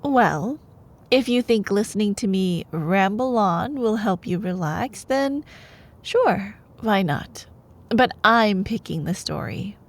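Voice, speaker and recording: A young woman playing a sweet, teasing girlfriend in an intimate ASMR roleplay, soft and playful, sometimes dropping toward a breathy whisper. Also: mid-range voice, lively intonation, slow pace. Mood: playful, affectionate. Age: young adult. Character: sweet, teasing young girlfriend, a sleepy-hours college student. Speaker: ASMR voice performer.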